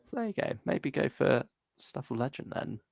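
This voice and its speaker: A man's voice: medium pitch, sing-song, normal speed, gravelly, darkish tone, no echo, clean studio sound. A man co-hosting a podcast, chatting casually and a little hesitantly about fan topics and listener comments. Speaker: podcast co-host.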